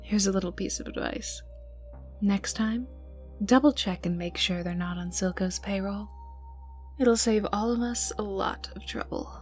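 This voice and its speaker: A woman playing a menacing, teasing young enforcer for a crime boss in an ASMR roleplay, taunting the listener in a breathy voice that dips toward whispers. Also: mid-range voice, a little slow, a little mellow, lightly acted. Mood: smug scolding. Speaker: voice actor in audio roleplay. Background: ambient music and mild sound effects.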